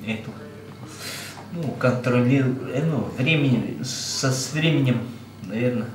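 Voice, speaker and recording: A man's voice: medium pitch, measured pace, a crowd in the background. A man, chatting casually on camera in a small kitchen, plain-spoken and chuckling often. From Yakutia. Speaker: truck driver on a road trip. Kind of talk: casual vlog narration. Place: small rented apartment kitchen, indoors. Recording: echoey room with steady noise.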